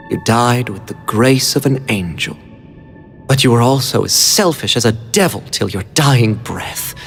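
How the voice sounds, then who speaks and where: A man voicing a flamboyant, sinister mafia executive in a video game, with theatrical, mocking, drawn-out phrasing. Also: medium pitch, gravelly. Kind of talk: dramatic English game dub acting. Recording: music under the dialogue.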